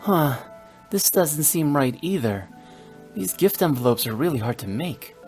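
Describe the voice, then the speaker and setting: Modest youth, video game, polite, unsure, earnest delivery, light music behind. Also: male voice, a little high, expressive, measured pace, a little mellow. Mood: earnest, flustered. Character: earnest, modest, unsure young soldier boy.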